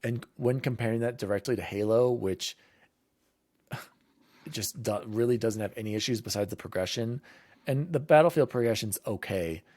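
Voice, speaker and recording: A man's voice: lowish voice, normal speed, a little mellow, no room sound. A man hosting a casual gaming podcast, talking in an informal, enthusiastic, rambling way. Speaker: podcast host.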